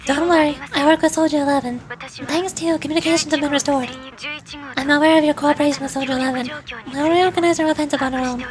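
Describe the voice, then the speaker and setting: A woman's voice: high voice, fairly even intonation, fast, a crowd in the background, lightly acted. A woman playing a mysterious, cool and reassuring military sniper in a video game, composed and concise.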